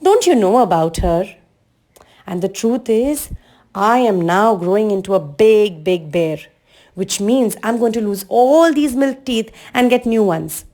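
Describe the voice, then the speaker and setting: A woman voicing a young storybook bear, excited and boastful, with a teasing, exclaiming manner. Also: medium pitch, unhurried, lightly acted. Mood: proud, excited.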